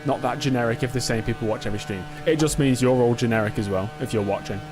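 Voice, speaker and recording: A young man casually commenting on his own racing game in a live stream, joking and self-mocking, quick to get excited. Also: mid-range voice, over music. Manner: quickly excitable under pressure.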